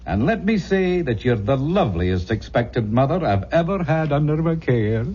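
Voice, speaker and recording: Casting: genial family doctor, vintage radio drama; animated, fatherly, hearty; dull old-recording sound. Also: mid-range voice, moderate pace, husky. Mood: reassuring, cheerful. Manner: hearty, reassuring, courtly. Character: jovial, reassuring country doctor, breezily paternal.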